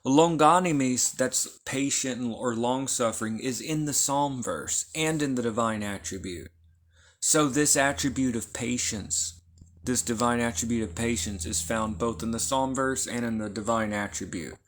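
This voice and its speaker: A man's voice: medium pitch, a little hiss or hum. A man giving an informal lecture on esoteric lore, explaining carefully and checking in with his listeners. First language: not Hebrew. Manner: unhurried, explains by repeating and checking with "okay?". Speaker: esoteric teacher.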